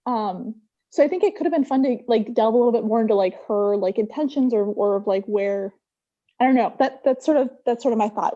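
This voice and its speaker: A woman's voice: medium pitch, mellow. A woman giving casual, tentative feedback in a hesitant, hedging way on a video call. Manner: hesitant, hedging with many likes. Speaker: workshop participant giving feedback. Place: online video meeting. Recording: muffled lo-fi video call audio.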